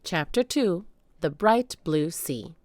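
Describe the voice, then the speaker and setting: A woman reads a children's story aloud in an animated, dramatic way. Her voice sits a little low but swings widely in pitch. The sound is dry and close. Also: takes it slowly, dry recording. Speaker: children's book reader. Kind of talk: expressive read-aloud storytelling.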